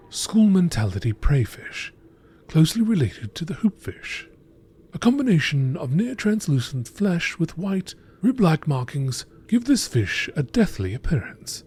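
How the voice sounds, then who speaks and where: A man reading scripted nature documentary style narration in a measured, informative way. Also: a little high, darkish tone, husky. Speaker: narrator reading game text.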